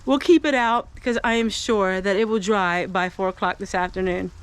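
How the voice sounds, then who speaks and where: A woman's voice: mid-range voice, normal speed, clean tone. A woman narrating her homemaking in a casual vlog, chatty and folksy, as if talking aloud to herself. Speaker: homesteading vlogger.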